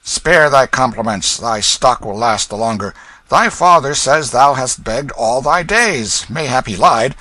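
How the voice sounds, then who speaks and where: A man's voice: mid-range voice, animated, unhurried, sharp tone, no room sound, voice pushed up. A man voicing a proud young king who speaks in a curt, disdainful manner. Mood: haughty refusal. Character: proud, haughty boy king in rags.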